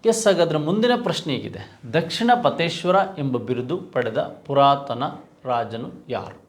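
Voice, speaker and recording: A man's voice: mid-range voice, normal speed. A man with a Karnataka Kannada accent lecturing as an exam coach, didactic, repeating key points and posing rhetorical questions. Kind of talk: lecture, reviewing quiz questions.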